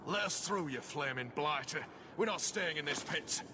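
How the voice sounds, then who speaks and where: A man's voice: a little high, animated, moderate pace, close to an everyday voice. A man playing an angry, desperate refugee in a fantasy video game, heated and demanding. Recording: noisy outdoor ambience.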